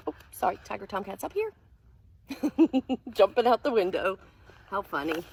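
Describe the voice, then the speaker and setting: A woman reading a picture book aloud to preschoolers as their teacher, expressive and sing-song, often hushed, pausing to explain words and ask questions. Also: mid-range voice, gravelly, a little hiss or hum. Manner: animated, asks questions and explains words to children.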